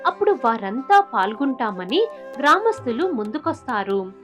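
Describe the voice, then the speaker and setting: A woman's voice: fairly high pitch, big pitch swings, moderate pace, a bit bright, soft background music. A woman narrating a scripted moral story.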